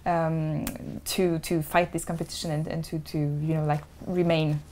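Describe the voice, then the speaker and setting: A woman's voice: low-pitched, level tone, moderate pace, a little mellow, a little hiss or hum. A woman interviewing a guest conversationally, building her questions hesitantly in short phrases.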